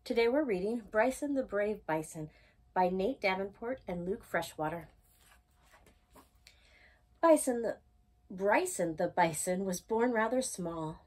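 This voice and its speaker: A woman reading a rhyming children's picture book aloud as a storytime host, with expressive, singsong emphasis. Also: mid-range voice.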